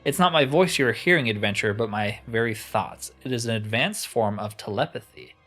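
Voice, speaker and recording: A man's voice: mid-range voice, brisk, bright, forceful tone, faint music behind. A man voicing a haughty ancient master enchanter turned crystal, reading game dialogue in a grandiose, theatrical manner. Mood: lofty, boastful. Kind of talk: reading video game dialogue aloud in character.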